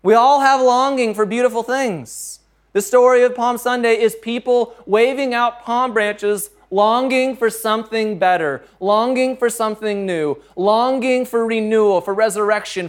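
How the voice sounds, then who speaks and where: Earnest, fairly high-voiced male pastor. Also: moderate pace. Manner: earnest, conversational, jokes about his own reading slips. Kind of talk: sermon with scripture reading.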